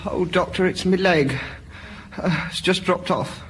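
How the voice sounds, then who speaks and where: A man acts out a pitiful patient in a radio comedy sketch, complaining plaintively in a high voice. The recording is very noisy. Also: unhurried. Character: pitiful patient whose body parts keep falling off.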